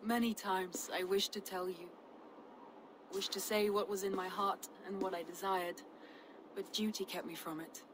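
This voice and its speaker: An actress playing an earnest, duty-bound Norse noblewoman in a dramatic game cutscene, speaking in a heartfelt, measured way. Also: medium pitch, fairly even intonation, background noise, natural voice. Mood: tender.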